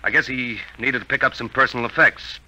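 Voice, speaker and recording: Earnest, sharp-voiced young American man. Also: mid-range voice, a little fast, dull audio, a little hiss or hum. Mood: uneasy, resigned. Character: earnest, skeptical young American man narrating his story. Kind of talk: 1950s radio drama acting. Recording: old 1950 radio recording, music behind.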